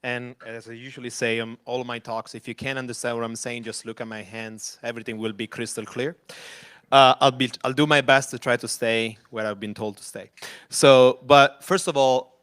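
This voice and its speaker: A man's voice: mid-range voice, steady tone, moderate pace, a bit bright, clear, resonant tone. A man from Italy with an Italian accent, giving a loose, conversational conference talk at an event venue on a handheld mic, with frequent restarts and fillers. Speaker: company executive presenting.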